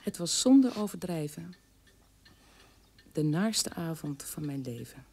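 Bitter daughter, intensely animated, lowish voice. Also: female voice, measured pace, husky, full character voice. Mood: disgusted, pained. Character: bitter adult daughter of a Nazi camp guard, recounting childhood. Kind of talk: dramatic radio play monologue.

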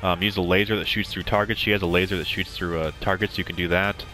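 A young man with a very deep, low voice talks through a game walkthrough in a loose, rambling way, stopping often with ums and restarting his sentences. Game music plays clearly underneath him the whole time. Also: level tone, fast talker. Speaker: gameplay commentator. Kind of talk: casual game walkthrough commentary.

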